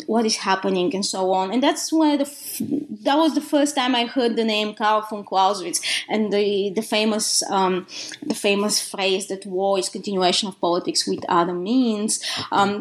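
A woman from Bulgaria with a Bulgarian accent, talking as a guest author in a podcast interview, pausing often with restarts and ums. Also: medium pitch. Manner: halting, many restarts and ums.

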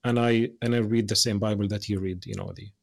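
A young adult man from the West Bank, speaking as an interview guest in an earnest, passionate way, often restarting and dropping to quiet asides. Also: lowish voice, fairly even intonation, fast talker. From West Bank, Palestine. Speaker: podcast guest.

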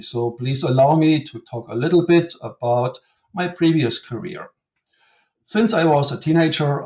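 Man, German accent, professor, formal, measured, roomy echo. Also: medium pitch, darkish tone. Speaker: guest author, professor. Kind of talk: interview answer.

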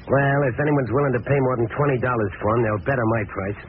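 A man playing a callous, shrewd American horse dealer, brisk and dismissive, in an old-time radio drama. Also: mid-range voice, natural voice. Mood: haggling. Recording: tinny, noisy old radio broadcast.

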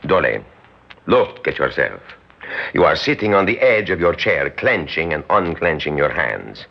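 An actor playing a suave, measured male conspirator in an old radio drama, speaking formally and deliberately. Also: extremely low pitch, very animated, gravelly, husky, full character voice. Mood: firm, calming. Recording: muffled old radio recording.

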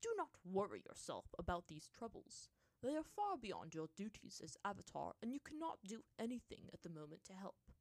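An aged monkey monk spirit from a storybook, played by a woman, grumpy and aloof. He talks in curt, dismissive statements with a flat manner. The recording is dry and close, with no room around it. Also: medium pitch, moderate pace, dry recording. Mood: grave.

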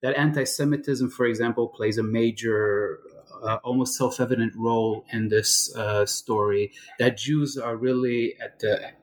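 Halting Israeli-born man scholar. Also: mid-range voice, steady tone. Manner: halting, many fillers and restarts. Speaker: guest scholar. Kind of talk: interview answers.

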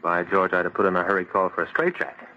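Bright, blunt skeptical man, old radio. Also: low voice, steady tone, fast talker. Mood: impatient. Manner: blunt, scoffing, impatient. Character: sensible, exasperated male friend and future brother-in-law. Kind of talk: old-time radio horror acting. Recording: dull, noisy vintage radio recording.

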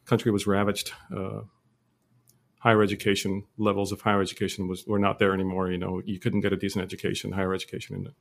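Interview guest, male, 40s, from Lebanon; low, flat, steady storyteller. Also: vocal fry. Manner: steady, measured storytelling with frequent fillers. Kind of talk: casual interview.

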